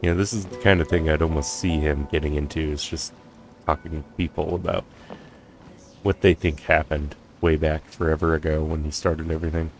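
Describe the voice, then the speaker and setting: Low voiced guy doing chill soft game commentary, bit of music in back. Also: level tone, brisk. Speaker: let's play host. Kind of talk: soft casual gameplay commentary.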